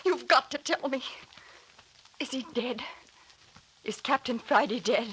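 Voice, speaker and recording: A woman's voice: high-pitched, measured pace, a bit bright, slightly rough, exaggerated voice. An actress playing a frightened, insistent young woman in an old-time radio serial, pleading in a breathless, melodramatic way. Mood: pleading insistence.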